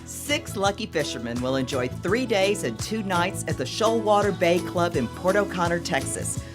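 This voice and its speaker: Woman with a lower voice doing a calm promo read, guitar playing underneath. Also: normal speed. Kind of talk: scripted promo voice-over. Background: music.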